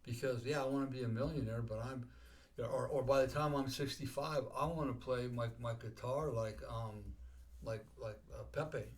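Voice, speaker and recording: A man's voice: medium pitch, moderate pace. A man in his sixties hosting a life coaching podcast, talking casually and often repeating words and checking "okay?". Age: 60s.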